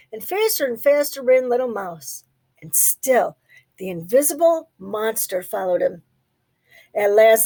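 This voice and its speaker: High-pitched, expressive woman reading a storybook. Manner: expressive, pausing to question young listeners. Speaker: storybook reader. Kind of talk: children's read-aloud narration.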